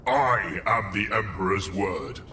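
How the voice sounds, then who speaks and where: A man acts out an imperial guardian captain, low and stern. He gives orders with full authority in a sci-fi audio drama, with clear noise behind him. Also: slow pace, sharp tone. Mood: commanding. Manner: authoritative, commanding. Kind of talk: dramatic audio story acting.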